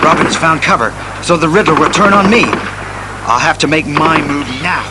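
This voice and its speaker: A man's voice: a little high, normal speed, very noisy, close to an everyday voice. A man playing a steadfast, commanding masked crime-fighter in a resolute, declamatory superhero audio drama style. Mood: tense, alert. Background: dramatic music.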